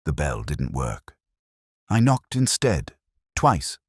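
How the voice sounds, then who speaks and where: A man playing an ageing, wry, brooding detective inspector who narrates a scripted mystery in measured, literary phrases. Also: rumbling low, wildly swooping pitch, husky, no room sound, studio quality, full character voice. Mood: brooding, reflective.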